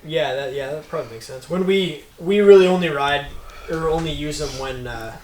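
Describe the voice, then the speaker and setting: A man's voice: a little high, moderate pace, reverberant. Rambling man with crowd chatter behind. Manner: rambling with restarts. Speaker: rider and vlogger. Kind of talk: casual chat.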